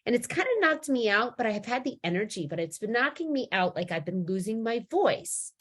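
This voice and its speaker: Hoarse woman giving a rambling spiritual talk. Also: fairly high pitch, very animated, brisk. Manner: rambling, with frequent restarts and "like". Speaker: spiritual teacher.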